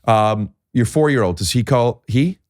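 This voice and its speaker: A man hosting a podcast in casual conversation, talking in halting stops and restarts with many fillers. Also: mid-range voice, expressive, dry recording, studio quality. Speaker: podcast host.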